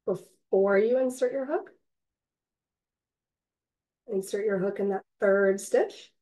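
A woman with a slightly raspy, cold-hoarse voice, patiently teaching a crochet class step by step and counting stitches aloud. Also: mid-range voice, darkish tone, rough recording. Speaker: crochet instructor. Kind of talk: step-by-step craft tutorial. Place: indoors, online class.

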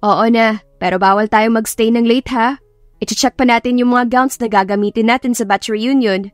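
A young Filipina career woman played by a female narrator in an audio romance, casual and wry. Her voice sits fairly high, recorded dry over music. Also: steady tone, moderate pace, dry recording, lightly acted. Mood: casual, teasing. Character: good-humoured young professional woman, romance heroine. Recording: music behind the voice.

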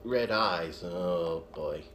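A man's voice: low voice, very slow, a bit bright, slight background noise. A man in his twenties giving casual live reaction commentary in a musing way, with a little trouble on th sounds. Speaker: host of a reaction video. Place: small living room, indoors. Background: music and video audio.

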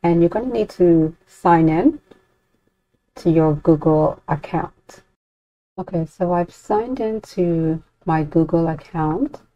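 A woman giving an unscripted software walkthrough as a tutorial presenter, informally thinking aloud with some hesitation. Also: fairly low pitch, slow pace, no room sound. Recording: dull, lo-fi, dry close recording.

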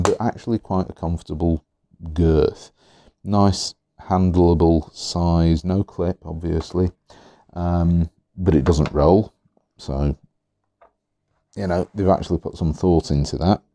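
A man reviewing fountain pens in an easygoing, chatty way, a little hoarse, with fillers and repeated words. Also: sits low, mellow, no echo. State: slightly hoarse. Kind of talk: relaxed product review. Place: indoors at a desk. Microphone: overhead camera on the hands, speaker not in frame.

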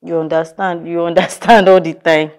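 A woman's voice: lowish voice, flat delivery, darkish tone, lightly acted. An actress with a Nigerian accent playing an overwhelmed young mother who vents, scolds and apologizes in turn. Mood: apologetic. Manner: venting, scolding, quick to apologize. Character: overwhelmed young mother, tired and short-tempered. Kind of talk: scripted skit acting.

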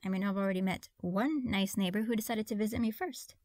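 A woman's voice: medium pitch, quick pace, darkish tone, dry recording, natural voice. Woman playing an awkward young witch, close dry sound. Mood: friendly, chatty. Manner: nervous, hesitant, apologetic. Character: friendly, awkward young witch new next door. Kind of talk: conversational ASMR audio roleplay acting.

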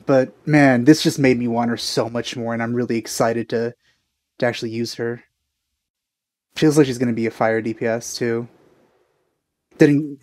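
A man's voice: mid-range voice, darkish tone, no echo. A man in his thirties giving casual, enthusiastic reaction commentary as a video host, close on the mic. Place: small indoor room.

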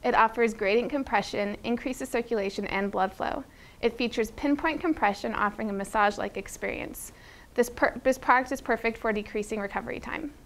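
A woman's voice: a little high, fairly quick, fairly bright tone. A young woman presenting running shoes and gear for a retailer, reading a script in an upbeat way with small slips, on a lapel mic in a studio. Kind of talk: scripted product rundown. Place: studio with a plain grey backdrop.

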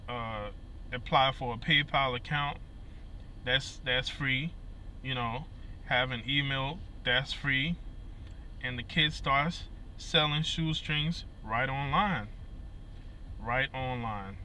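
A man's voice: medium pitch, pushed and bright, a little hiss or hum. A man in his thirties with a Liberian accent, talking earnestly to his phone camera from inside a vehicle, with many fillers and repeated words. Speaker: musician and vlogger. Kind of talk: casual talk to camera. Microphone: phone camera at arm's length.

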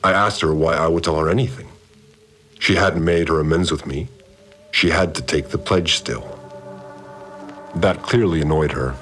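An actor playing a calm, cryptic male cult priest, narrating his log in a measured, solemn way. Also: rumbling low. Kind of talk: scripted audio drama narration. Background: soft music.

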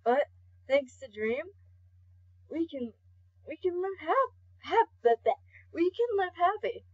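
A woman's voice: high voice, big pitch swings, slow, full character voice. A woman voicing a laid-back, scolding male skeleton in an amateur comic dub, blunt, casual and stumbling. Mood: chiding, frank. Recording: dull lo-fi home recording.

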